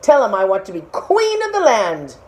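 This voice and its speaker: A woman's voice: on the high side, huge pitch swings, moderate pace. Lady doing a bossy greedy fairy tale wife, super insistent, voice goes up and down a lot. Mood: imperious. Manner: insistent, imperious orders. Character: demanding, greedy fisherman's wife, bossy and never satisfied.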